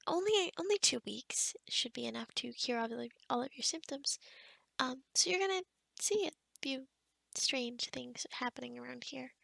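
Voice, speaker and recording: A woman playing a kind, awkward young forest witch in an ASMR roleplay, speaking softly and hesitantly, often close to a whisper. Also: high voice, animated, normal speed, gravelly, no echo, heavily acted. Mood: awkward, apologetic. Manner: hesitant, rambling, softly spoken with whispery stretches. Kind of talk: ASMR roleplay addressed to the listener.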